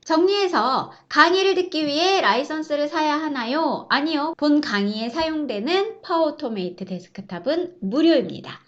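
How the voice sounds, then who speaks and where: A woman with a high voice and very animated intonation narrates an online course. She explains things politely, step by step, as an instructor. The recording is a little dull and lo-fi. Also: moderate pace, hardly any pauses.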